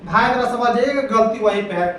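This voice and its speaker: A man with a very high voice teaches grammar, drilling each rule and asking students questions again and again. He sounds far from the mic in a very echoey room, with a faint hiss behind him. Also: brisk. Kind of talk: exam-prep grammar lesson.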